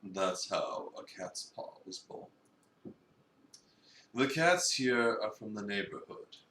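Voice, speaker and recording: Visual novel cafe owner, read by a man: brooding, formal, deep-leaning and deliberate, recorded off-mic with background noise. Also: animated, bright, forceful tone, full character voice. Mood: solemn, measured. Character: brooding, theatrical eccentric male cat cafe owner. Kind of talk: dramatic reading of a visual novel. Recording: echoey room, distant mic, noisy.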